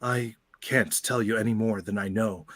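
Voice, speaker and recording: A man's voice: fairly low pitch, steady tone, fairly bright tone, no echo. A man playing a composed, authoritative professor leading a team of heroes in a radio play. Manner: measured, authoritative.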